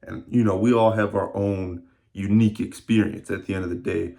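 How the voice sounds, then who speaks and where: A man's voice: lowish voice, steady tone, moderate pace, vocal fry, dark tone. A man in his early twenties talking casually to camera in a rambling, reflective vlog with many fillers. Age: 20s. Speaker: fitness vlogger.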